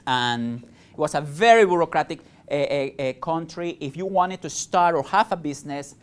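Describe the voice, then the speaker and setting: A man's voice: fairly high pitch, normal speed, smooth. Peruvian-accented man giving a campus talk. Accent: Peruvian Spanish. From Peru. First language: Spanish. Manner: conversational, many fillers and restarts. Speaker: guest speaker, chamber of commerce director. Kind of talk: lecture to college students. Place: college lecture room.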